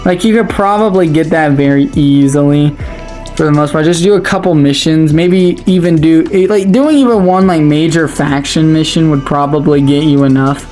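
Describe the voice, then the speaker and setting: A gaming video creator talking through a game update in a casual, excitable, rambling way, with a slightly raspy voice after a long stream. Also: mid-range voice, moderate pace. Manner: chatty and excitable, rambling with restarts. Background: faint ambient music.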